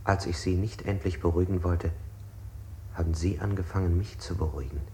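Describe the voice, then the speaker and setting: Interrogated man, low and dark-toned, repetitive literary phrasing, evasive, oddly exact, German experimental radio play. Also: brisk, a little hiss or hum. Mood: quietly reproachful. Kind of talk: 1960s German avant-garde radio play.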